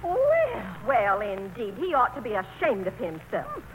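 An actress playing a spirited older wife and sister, earnest and mildly defiant, in 1940s radio drama style. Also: female voice, medium pitch, very animated, unhurried, fairly bright tone. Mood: mildly indignant. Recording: muffled, noisy old radio broadcast.

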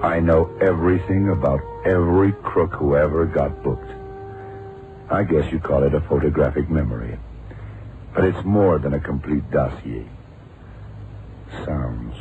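A man's voice: a bass voice, unhurried, long pauses, soft background music. An actor playing a commanding, self-assured veteran FBI man in a vintage radio drama. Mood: grave, assured. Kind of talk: 1960s-70s radio drama acting. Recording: tinny old radio recording.

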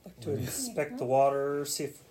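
A man's voice: medium pitch, animated, brisk, crowd noise. A man talking casually as a player in a tabletop game.

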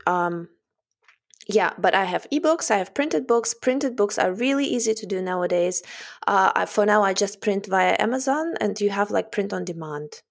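Author guest, woman with a German accent, gravelly edge, relaxed and chatty. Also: medium pitch, normal speed. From Russian-German background, lives in Germany. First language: German or Russian. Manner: thoughtful, laughs often, self-conscious. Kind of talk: casual podcast interview.

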